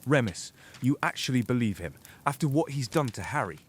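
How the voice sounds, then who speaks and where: A man acts as a hot-tempered, mistrustful wizard in a fantasy audio drama. His delivery is curt and accusing, with very animated pitch. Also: a little fast, slight background noise, close to an everyday voice. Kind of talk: fan audio drama acting.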